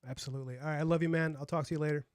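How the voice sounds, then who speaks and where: A man's voice: on the high side, fairly even intonation, rapid-fire. A young man with a light, youthful voice, chatting casually on a livestream and rambling with lots of fillers. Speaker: streamer and comic artist.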